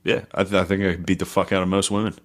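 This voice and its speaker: A man's voice: low-pitched, little pitch movement, racing. A man co-hosting a comedy podcast, talking casually in blunt, profane, slangy banter. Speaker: podcast co-host.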